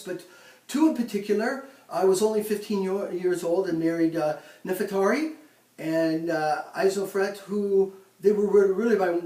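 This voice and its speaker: A man playing a proud ancient Egyptian pharaoh in a mock interview, speaking haltingly with many ums and restarts, recorded in an echoey room from a distance. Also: high voice, darkish tone, natural voice. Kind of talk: amateur school-style role-play interview.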